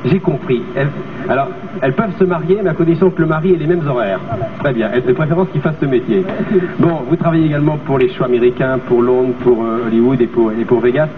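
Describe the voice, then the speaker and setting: A man's voice: mid-range voice, recorded from a distance. A middle-aged man hosting a TV variety show, interviewing a guest with a handheld mic on a studio stage and echoing back her answers. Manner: brisk, repeats the guest's answers. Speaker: TV host. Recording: tinny, noisy old TV broadcast, off-mic.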